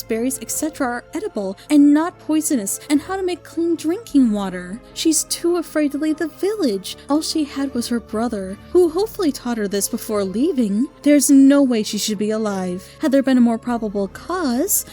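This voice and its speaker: A woman's voice: sits high, mellow. A woman reading a scripted review commentary in a critical, wry, analytical manner. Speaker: reviewer of fan audio dramas.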